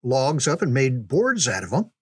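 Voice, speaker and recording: An older man reminiscing in a casual, folksy way, unhurried, with pauses for emphasis. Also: medium pitch, very animated, husky, no echo, clean studio sound. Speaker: podcast storyteller, reminiscing father. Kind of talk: casual conversational storytelling.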